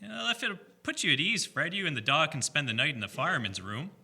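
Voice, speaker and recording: Hearty male constable for radio play, very sharp bright tone, animated man acting. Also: on the high side, fast talker, gravelly, husky, exaggerated voice. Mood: friendly concern. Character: small-town police constable, hearty, dutiful man.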